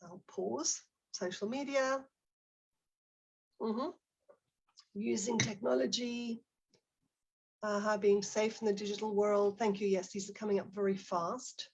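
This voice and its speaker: A woman's voice: mid-range voice, fairly even intonation, a little slow, pauses often, darkish tone, breathy edge, dull audio. A woman with a South African accent presents a live online teaching webinar, prompting her audience and reading out their chat replies in a friendly way. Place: home office near Barcelona.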